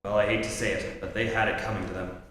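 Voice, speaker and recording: An actor playing a teasing, avuncular crimefighter off duty in an old-time radio play, chummy and slangy. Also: male voice, lowish voice, flat delivery, fast talker, a bit bright, natural voice. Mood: earnest. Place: theatre stage, live performance. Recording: echoey hall stage, light noise.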